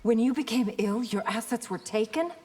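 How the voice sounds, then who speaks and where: A woman voicing a composed, dutiful warrior princess in a video game, speaking formally and with measured poise. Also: on the high side, slightly rough. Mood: composed, firm. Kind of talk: video game character acting. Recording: long reverb, loud background noise.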